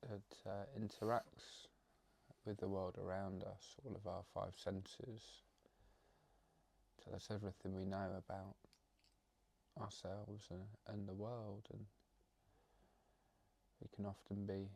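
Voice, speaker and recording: Gravelly, monotone man guiding meditation. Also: low-pitched. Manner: slow, halting phrases with long pauses. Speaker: meditation guide. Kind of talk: guided meditation, spoken off the cuff.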